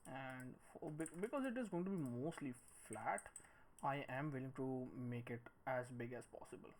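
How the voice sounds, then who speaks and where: A man in his thirties giving a screen-recorded tutorial, thinking aloud in a halting, musing way as he works. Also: medium pitch. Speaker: 3D modelling tutorial presenter. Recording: noisy room with clicks and typing.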